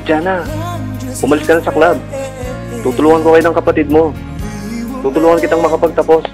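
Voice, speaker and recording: A man's voice: on the high side, level tone, fairly quick, over music, close to an everyday voice. A man playing an earnest, persistent young suitor in a radio drama, coaxing and reassuring, with a Filipino accent. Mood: earnest, loving.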